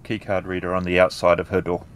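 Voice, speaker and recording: Casting: male game master, low register, setting out what the players see. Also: monotone, fast talker, slight background noise. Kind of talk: describing scenes in a tabletop game.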